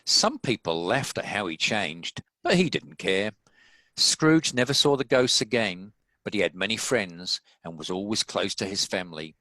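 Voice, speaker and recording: Bright, low-pitched New Zealand narrator man. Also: lively intonation, normal speed, dry recording, poor audio. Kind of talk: scripted story narration.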